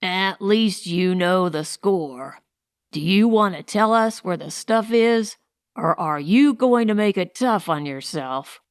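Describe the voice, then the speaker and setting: A woman's voice: lowish voice, animated, slow pace, smooth, dry recording, studio quality. A woman voicing a tall, cold male gang leader in an audiobook, curt, menacing and commanding. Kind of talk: audiobook character voice.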